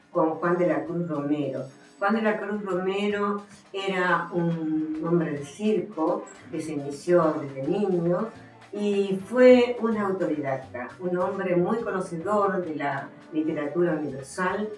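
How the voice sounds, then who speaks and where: Echoey, lower-pitched Argentine woman presenting. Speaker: author presenting her books. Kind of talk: book presentation, explanatory talk with some reading. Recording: echoey, somewhat distant from the mic.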